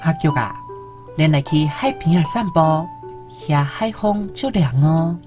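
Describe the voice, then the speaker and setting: A woman with a Taiwanese accent playing an earnest, romantic young male student who coaxes gently in a radio drama. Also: sits low, animated, unhurried, over music. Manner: coaxing, ardent.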